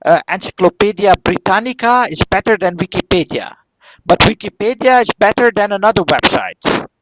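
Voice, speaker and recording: A man's voice: high voice, fairly bright tone. A man from northern Belgium with a Flemish accent and native Flemish Dutch, answering seminar questions as an academic, hesitantly, repeating words and posing rhetorical questions. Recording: dull lo-fi audio.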